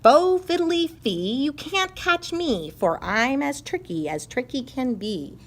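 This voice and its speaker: A woman's voice: sits high, animated, takes it slowly, pitched above the performer's own voice, full character voice. A woman voicing a crafty, gleeful little male leprechaun who taunts in sing-song rhymes for a children's storybook. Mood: taunting.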